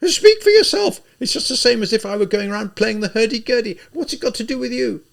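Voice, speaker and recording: A man reading aloud plays a proud widowed gentlewoman on the edge of collapse, her voice pushed far up and loud. She sounds haughty and shrill at once, swooping wildly in pitch, with a gravelly catch under it. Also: animated, moderate pace. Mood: shrill outrage.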